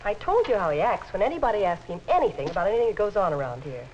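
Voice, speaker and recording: Actress as 1950s office secretary, deferential gossip, expressive intonation, faintly hissy vintage soundtrack. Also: female voice, medium pitch, very fast, muffled recording, close to an everyday voice. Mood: cautious, gossipy. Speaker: actor in workplace instructional film. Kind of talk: 1950s instructional film acting.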